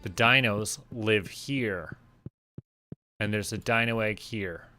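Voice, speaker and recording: Casting: male game streamer, lowish voice, playful self-talk, casual commentary over clear background music. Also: slow pace, pushed and bright. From Canada. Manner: thinks aloud, chatty self-talk, playful.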